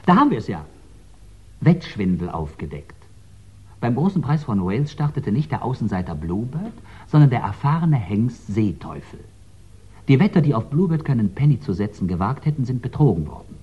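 Chatty male racing enthusiast, husky and swooping in pitch, musing helpfully, dull vintage radio sound. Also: big pitch swings, normal speed. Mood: excited. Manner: eager, musing as he recalls. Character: helpful, chatty horse-racing buff in newspaper archive. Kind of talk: 1960s German radio crime drama acting. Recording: dull 1960s radio recording.

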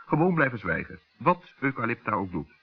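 A man with a husky voice narrating a scripted children's radio story. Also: medium pitch, very animated, fairly quick. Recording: dull old radio recording.

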